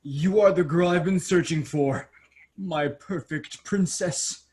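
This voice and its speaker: A man's voice: fairly high pitch, slow pace, a little mellow. A man playing a vain, romantic young prince with a grand manner in a children's play reading. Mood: grandly romantic.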